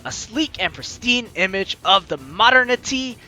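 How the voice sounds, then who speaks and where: Bright, animated woman gamer reading aloud. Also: medium pitch, moderate pace. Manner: excitable, chatty, dramatic reading. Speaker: gaming video host. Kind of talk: let's play commentary, reading game narration aloud. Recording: game music behind the voice. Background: game music.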